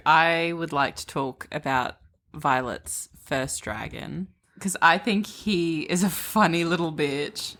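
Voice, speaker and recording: A woman podcast co-host chatting casually about books in a blunt, slangy, expressive way, with some swearing. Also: sits low, slow pace, faint music behind. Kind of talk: casual book chat.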